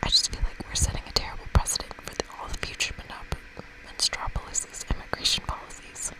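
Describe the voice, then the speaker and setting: A woman's voice: fast, in a whisper, natural voice, low-quality recording. A woman voicing an earnest, easily exasperated young woman who does magic in a camp game. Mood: uneasy.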